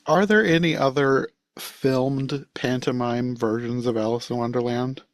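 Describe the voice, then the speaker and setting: A man's voice: medium pitch, fairly even intonation, measured pace, no echo. A man hosting a podcast, giving opinions in a casual review chat.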